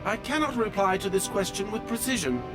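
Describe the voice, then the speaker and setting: A man's voice: sits high, expressive, brisk, over music. A male actor voicing a fussy, polite automaton train engineer in a video game, with formal, precise, pedantic diction. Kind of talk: video game character dialogue.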